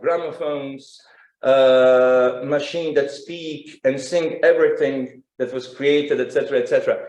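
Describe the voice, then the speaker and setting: A man gives an academic presentation in a halting, conversational manner, repeating words as he goes. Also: fairly high pitch, darkish tone. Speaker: symposium speaker, music researcher. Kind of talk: academic presentation with informal asides. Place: indoor symposium room. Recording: roomy, echoing live talk.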